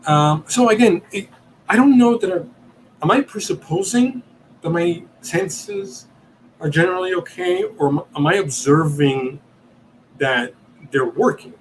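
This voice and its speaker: A man from Italy whose first language is Italian, hosting a livestream Q&A and reasoning aloud, often pausing and searching for words. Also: sits high, lively intonation, a little hiss or hum, rough recording. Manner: hesitant, searching for words, many ums and restarts. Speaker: podcast host answering questions.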